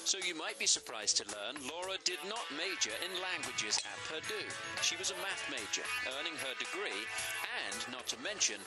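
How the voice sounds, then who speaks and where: A man narrates a sports profile from a script. His voice rises and falls in a lively, animated way. Clear music runs beneath. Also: fairly high pitch, normal speed, rough recording. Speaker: sports feature reporter.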